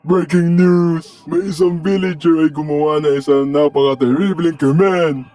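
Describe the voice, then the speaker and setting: Man playing self-important villager news anchor, dark voice. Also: fairly high pitch, steady tone, moderate pace, no room sound, soft background music, lightly acted. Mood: dramatic, formal. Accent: Filipino. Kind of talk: mock news broadcast.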